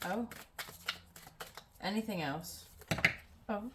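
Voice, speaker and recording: A woman's voice: medium pitch, drawn-out words, heavy background noise. A woman doing a casual tarot reading at home, sounding nasal from a cold and rambling with many ums. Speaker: tarot reader.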